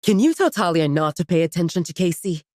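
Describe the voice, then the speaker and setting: A woman's voice: on the low side, very animated, brisk, darkish tone, no room sound, clean studio sound. A woman voicing a protective, brooding male leader with a terse, questioning manner in a romance audio drama. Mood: guarded.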